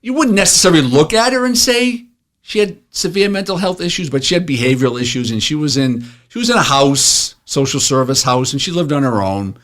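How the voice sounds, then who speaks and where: A man's voice: fairly high pitch, expressive, a little fast, fairly bright tone. Blunt male storyteller, casual cop talk. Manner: blunt, profane, joking. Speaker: retired police officer telling stories on a show.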